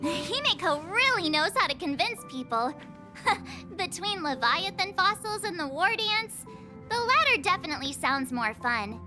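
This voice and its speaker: A very high, chirpy girl. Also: very animated, unhurried, sharp tone, soft background music, exaggerated voice. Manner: chirpy, playful. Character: bubbly, excitable young girl of the crew. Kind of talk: game voice acting.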